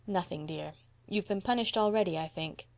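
Woman playing a gentle, soft-spoken young woman. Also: fairly low pitch, moderate pace, gravelly. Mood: gentle, grave. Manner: soft-spoken, grave, kindly. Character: gentle, patient young woman comforting a child. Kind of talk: audiobook character voice.

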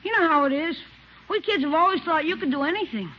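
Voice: high-pitched, quick pace, natural voice. An actor playing a cheeky, wisecracking young boy with blunt, slangy talk in a 1950s radio comedy. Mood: needling. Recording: muffled, noisy vintage radio recording.

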